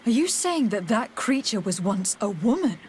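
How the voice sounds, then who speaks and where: An actress playing a composed, inquisitive female adventurer in a video game, with a measured, questioning delivery. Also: mid-range voice, very animated, darkish tone, slight background noise, close to an everyday voice. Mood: concerned. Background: game music under the voice.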